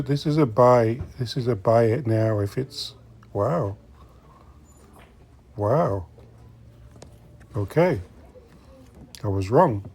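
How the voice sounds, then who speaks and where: A man's voice: medium pitch, a little mellow, slight background noise. A middle-aged man giving casual, chatty commentary to viewers while browsing in a shop, sometimes muttering to himself. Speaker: host of a vintage collecting vlog. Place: inside a secondhand shop.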